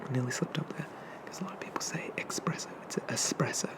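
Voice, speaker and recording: A man voicing a shy, friendly sea dragon barista in a soft-spoken ASMR roleplay, rambling and hesitant as he eagerly explains things. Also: mid-range voice, quick pace, natural voice. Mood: helpful, gentle.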